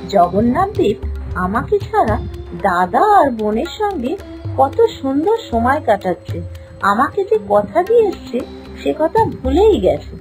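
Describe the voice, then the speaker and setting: A woman voicing a proud, anxious goddess wife who is quick to anger, in an emphatic cartoon storybook style. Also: sits high, very animated, a little mellow, soft background music. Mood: hurt, indignant.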